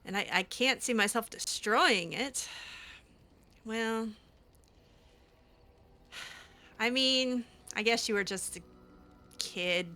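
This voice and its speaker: A woman's voice: on the high side, slow pace, long pauses, sharp tone. A woman hosting a game playthrough, chatting casually in character with a teasing, sarcastic manner. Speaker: gaming video host, roleplaying as player character. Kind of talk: casual in-character roleplay commentary.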